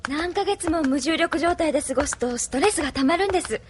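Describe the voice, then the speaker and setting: A woman's voice: high voice, lively intonation, fairly quick, slight background noise. A woman playing a sweet, earnest young staffer devoted to her leader in an audio drama, polite and girlish. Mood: helpful. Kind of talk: anime-style audio drama acting.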